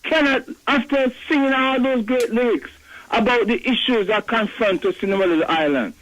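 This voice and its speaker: An older man calling in by phone to a radio show, making an earnest, measured point with some halting restarts. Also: very high-pitched, a bit bright, highs cut off. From Jamaica. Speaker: caller. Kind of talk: call-in comment. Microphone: telephone.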